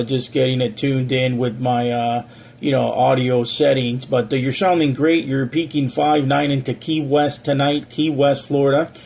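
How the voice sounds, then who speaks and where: A man chatting casually over amateur radio as an operator, courteous and measured in his radio procedure. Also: mid-range voice, gravelly, a little mellow. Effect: amateur radio transmission. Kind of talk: casual two-way radio chat. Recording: radio audio with faint hiss.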